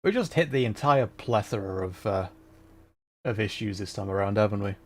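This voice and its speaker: A man's voice: on the low side, expressive, normal speed. A man talking casually and self-deprecatingly as he hosts a gaming livestream. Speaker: livestreamer, VTuber. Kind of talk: casual livestream chat while playing a game.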